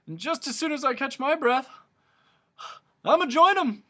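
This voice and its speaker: A man's voice: very high-pitched, expressive, normal speed, bright, forceful tone, pitched above the performer's own voice, heavily acted. A man voicing a pompous, wheezing male humbug, a bug-like creature who talks in flowery, repetitive phrases.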